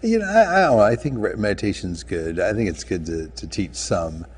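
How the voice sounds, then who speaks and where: A man guesting on a talk show, talking in a laid-back, halting, meandering way. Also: low-pitched, wildly swooping pitch, slight background noise. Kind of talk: casual chat.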